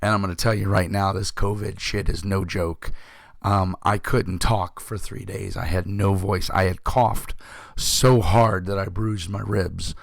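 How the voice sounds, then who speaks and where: Host, fifties, man; very deep gravelly voice, hoarse, rambling casual chat. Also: fairly even intonation, unhurried, dry recording, clean studio sound. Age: 50s. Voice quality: rough, gravelly voice. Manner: rambling, many fillers and throat clearing. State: hoarse, recovering from illness. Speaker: tabletop game channel host.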